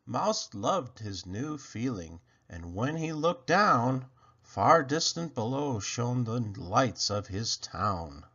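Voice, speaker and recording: Guy reading a rhyming kids book out loud, really slow and theatrical, bit gravelly, slightly muffled audio. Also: medium pitch, sing-song. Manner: theatrical, deliberate phrasing. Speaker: children's storybook reader. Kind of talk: expressive rhyming picture-book read-aloud.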